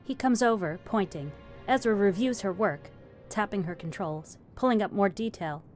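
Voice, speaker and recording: A woman narrates a script, reading out its stage directions. Her voice sits at a medium pitch, with music clearly playing underneath. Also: normal speed, darkish tone.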